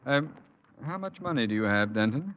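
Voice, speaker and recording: An actor playing a pompous, grasping, blustery school principal in a 1950s radio sitcom. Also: male voice, medium pitch, lively intonation, normal speed, lightly acted. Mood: pompous, smug. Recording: dull, reverberant vintage radio with hiss.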